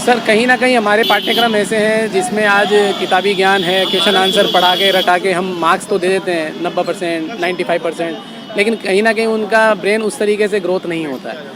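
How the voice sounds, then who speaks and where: A man's voice: high voice, fairly even intonation, fairly quick, a crowd in the background. A man from Jaipur, India, giving earnest, spontaneous interview answers and mixing in English words. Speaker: interviewee, touring social activist. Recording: clear traffic noise outdoors. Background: street and vehicle noise.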